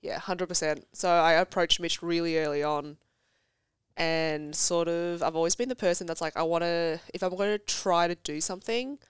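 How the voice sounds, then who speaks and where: A young woman with a congested cold, chatting as a podcast guest, self-deprecating and quick to tell stories. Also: fairly low pitch, fairly even intonation, smooth, no echo. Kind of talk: casual chat. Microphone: studio podcast mic.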